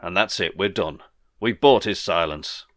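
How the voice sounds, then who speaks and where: A man playing a discreet, reassuring political fixer with a hushed, matter-of-fact delivery. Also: low-pitched, a little slow, no echo, clean studio sound, voice pushed down. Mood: conspiratorial.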